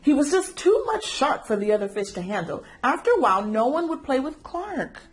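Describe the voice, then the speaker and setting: A woman storyteller reading aloud for children, animated and engaging listeners with questions. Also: high voice, fairly quick. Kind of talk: expressive children's read-aloud narration.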